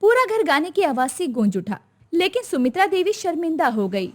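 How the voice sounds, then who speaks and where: A woman narrates a scripted bedtime story. Her voice is high and animated, moving expressively through each sentence. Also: moderate pace.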